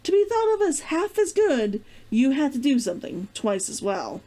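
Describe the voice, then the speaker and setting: A woman narrates a story in a high, lively voice with lots of melodic movement. The tone is soft-edged and mellow, and the reading is expressive, as for a read-along. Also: moderate pace. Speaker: reader of a fiction read-along.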